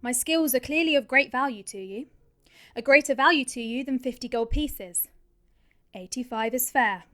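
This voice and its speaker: An actress voicing a brash, hard-drinking princess who hunts monsters. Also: female voice, sits high, moderate pace, fairly bright tone, no echo, clean studio sound. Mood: sardonic. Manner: cocky, crude, sardonic.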